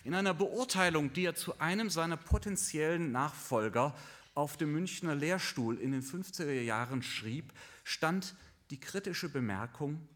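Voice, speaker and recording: An older man, a professor, giving a formal lecture in a measured, dryly ironic way, sometimes halting on fillers. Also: fairly high pitch, fairly bright tone. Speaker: professor of Chinese studies.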